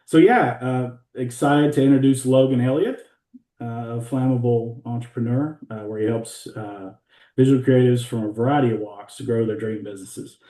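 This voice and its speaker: A middle-aged man introduces himself in a relaxed, unhurried way, his dark, mellow voice broken up by frequent pauses. He sits well back from his mic in a roomy space, so the sound carries an echo over the video call. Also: mid-range voice, recorded from a distance. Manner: laid-back, many "uh" pauses, trails off softly. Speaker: guest designer. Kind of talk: casual self-introduction.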